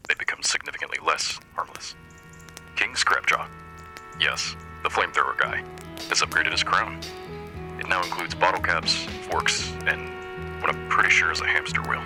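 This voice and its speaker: A man playing a wry, easygoing radio host in a post-apocalyptic wasteland, delivering scripted monologue with deadpan, folksy humour. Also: a bass voice, normal speed, music in the background, poor audio. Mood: wry, gossipy.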